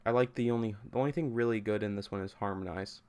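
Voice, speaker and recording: A man hosting a casual, unscripted software tutorial, thinking aloud in a halting way as he goes. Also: lowish voice, clear, resonant tone.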